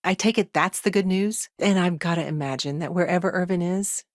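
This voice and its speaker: An actress voicing a busy, pragmatic, principled woman lawyer in a video game, speaking in a businesslike, frank, measured conversational way with dry asides. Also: fairly low pitch, dry recording, close to an everyday voice. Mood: sincere, appreciative.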